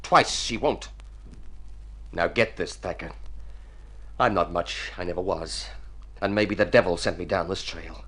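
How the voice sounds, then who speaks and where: An actor playing a young Texan outlaw in a radio drama, with a terse, menacing, resolute manner. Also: male voice, low voice, unhurried, pauses often, fairly bright tone. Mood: grimly determined. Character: gruff young Texan outlaw posing as a long-lost son.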